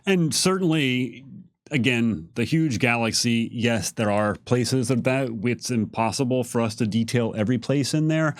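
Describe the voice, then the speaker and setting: A man talking casually and haltingly, thinking aloud as he answers questions. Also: mid-range voice, no room sound, studio quality. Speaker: game developer answering fan questions on a stream. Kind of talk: casual unscripted Q&A talk.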